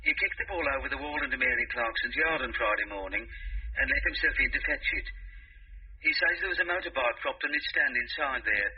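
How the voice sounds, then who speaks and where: Junior male officer, dutiful; markedly rough husky tone, radio drama report, dull phone-like sound. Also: medium pitch, fairly quick. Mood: earnest, reporting. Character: dutiful junior policeman reporting to his superior. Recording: muffled telephone-style radio drama sound.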